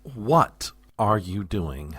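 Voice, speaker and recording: Man playing a deep, harried chief of staff. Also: very animated, very slow, a bit bright, husky, no room sound, heavily acted. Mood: weary, gloomy. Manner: formal, long-suffering, exasperated. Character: harried, exasperated, world-weary male chief of staff. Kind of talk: comedic audio drama acting.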